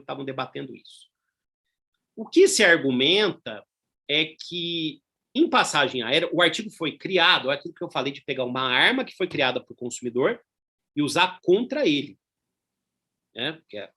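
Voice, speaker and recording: A man's voice: on the high side, bright, forceful tone, husky. A middle-aged man with a Brazilian Portuguese accent giving a conversational law lecture, full of tag questions and asides. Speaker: law professor.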